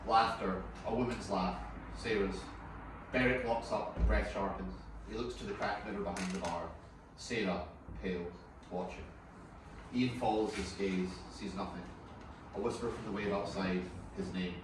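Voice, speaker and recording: A man's voice: sits low, steady tone, moderate pace. A man narrating scripted stage directions at a screenplay table read. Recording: distant, echoey room with clear noise.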